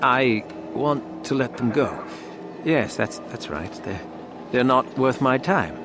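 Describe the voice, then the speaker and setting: An actor playing a cruel, bored, arrogant young Sith in a video game, with a theatrical, haughty way of musing aloud. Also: male voice, mid-range voice, animated, slow pace, over music. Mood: dazed, dismissive.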